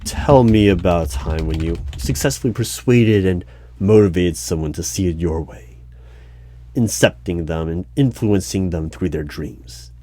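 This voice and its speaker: A man playing a dry, unflappable hiring manager in a one-sided ASMR roleplay job interview, asking measured, halting questions. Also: low voice, warm tone. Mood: dry, probing. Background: computer keyboard typing and clicks.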